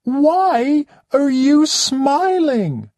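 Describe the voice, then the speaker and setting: A reader voicing a spoiled, demanding woman who complains shrilly and loudly. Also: medium pitch, expressive, drawn-out words, no room sound, clean studio sound, pitched above the performer's own voice. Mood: alarmed.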